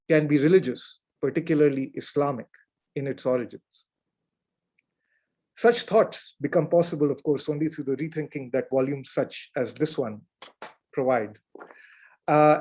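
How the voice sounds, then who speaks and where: A man's voice: fairly high pitch, darkish tone. Man reading formal academic remarks. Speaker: panelist at a book talk.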